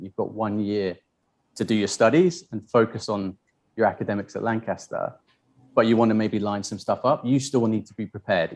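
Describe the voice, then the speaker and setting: Guy on a webinar, lowish voice, easygoing, lots of ums. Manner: relaxed, hesitant with fillers and restarts. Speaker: university representative on a webinar. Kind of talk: casual spoken answers.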